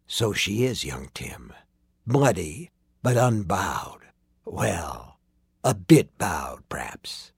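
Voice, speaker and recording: A man's voice: sits low, sing-song, very slow, gravelly, husky, no echo, clean studio sound, voice pushed down, exaggerated voice. A man voicing a sinister, mockingly courteous sorcerer in an audiobook story, with archaic, teasing speech that drops into whispers. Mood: amused, sly.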